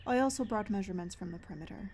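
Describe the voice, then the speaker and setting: A woman's voice: medium pitch, very fast, a little mellow, a little hiss or hum, close to an everyday voice. A woman playing a stubborn, sardonic blacksmith's daughter in a fantasy audio roleplay, wry and casual in manner. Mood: eager, determined.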